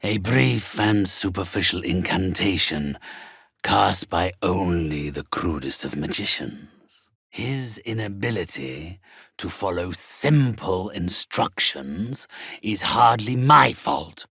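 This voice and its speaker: A haughty necromancer for a video game, low and husky with heavy creak. He speaks in formal, theatrical phrases with a condescending, menacing air. The sound is close and dry. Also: expressive, slow, fairly bright tone, no echo, full character voice. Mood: disdainful. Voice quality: raspy, gravelly. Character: haughty sinister male necromancer who commands spirits. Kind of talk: video game character acting.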